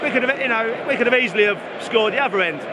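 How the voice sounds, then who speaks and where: High-voiced philosophical man in a noisy crowd. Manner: measured, philosophical, self-correcting. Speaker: football fan giving his verdict. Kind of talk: casual match analysis. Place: outdoors in a football stadium. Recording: very noisy outdoor stadium crowd recording. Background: loud crowd and outdoor noise.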